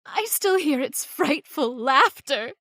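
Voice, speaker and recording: An actress playing a terrified young daughter in a radio horror drama, overwrought and fearful. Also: female voice, high voice, expressive, slow pace, pushed and bright, dry recording, full character voice. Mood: terrified, haunted. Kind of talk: old-time radio drama acting.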